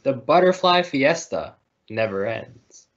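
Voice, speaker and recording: Animated man reading aloud, muffled sound. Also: mid-range voice, slow pace. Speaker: storybook reader. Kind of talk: children's picture book read-aloud. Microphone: video call. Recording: muffled, lo-fi video call audio.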